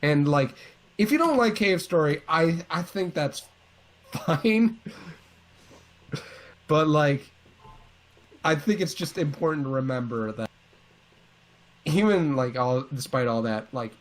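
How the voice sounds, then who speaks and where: A man's voice: on the high side, lively intonation, takes it slowly, pauses often. A man co-hosting a casual discussion of video games, informal and opinionated with a joking streak. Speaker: co-host of a video game series.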